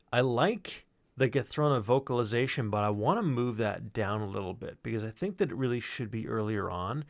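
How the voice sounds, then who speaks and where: A man talking as a sound designer, explaining his work casually in an animated, discursive way. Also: on the low side.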